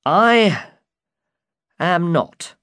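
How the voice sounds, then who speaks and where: A man's voice: high-pitched, sing-song, takes it slowly, fairly bright tone, dry recording, studio quality, voice pushed up, full character voice. A man voicing an eager, pompous old would-be knight in a storybook, speaking with earnest chivalry. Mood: earnest, pleading. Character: eager, grandiose old man who believes he's a knight. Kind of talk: dramatized storybook reading.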